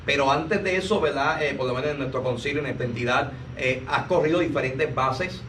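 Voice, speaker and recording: A man's voice: mid-range voice, a little fast. Male interviewer, prompting and echoing, reverberant room, faint noise. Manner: echoes the guest's answers, prompting. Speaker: interviewer, fellow minister. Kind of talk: conversational interview. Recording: roomy, distant mic with faint noise.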